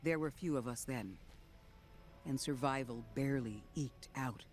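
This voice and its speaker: An actor voicing a calm, measured man who guides newly woken people, speaking slowly and reassuringly in a video game cutscene. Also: medium pitch, soft background music, close to an everyday voice. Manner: measured and reassuring, slow deliberate phrasing.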